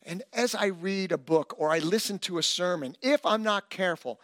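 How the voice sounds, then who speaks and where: A middle-aged man preaching a sermon as pastor, emphatic and animated, with self-deprecating humor. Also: high voice, normal speed, no room sound, studio quality.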